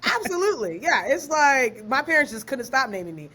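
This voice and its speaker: A young woman, born in Ghana and raised in Maryland, chatting as a podcast guest in a lively, slangy way and laughing easily. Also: high voice, brisk, slightly rough. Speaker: guest, author and researcher. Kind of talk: casual podcast interview.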